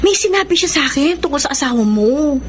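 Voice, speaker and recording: A woman's voice: sits high, lively intonation, fast, slight background noise. A woman acting a concerned, gossipy neighbour friend in a Tagalog radio drama, speaking confidingly.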